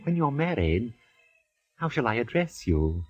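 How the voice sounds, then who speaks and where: A pompous, fussy sidekick in a 1940s radio drama, formal and theatrical. The husky voice swings up and down, on a dull vintage recording. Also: male voice, medium pitch, big pitch swings, unhurried, darkish tone, no echo. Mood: fussy, curious.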